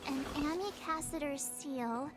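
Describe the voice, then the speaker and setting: Game role: inquisitive girl sidekick, high and cute, courteous, faint music underneath. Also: unhurried. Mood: curious. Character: bright, curious young girl companion. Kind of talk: animated game dialogue.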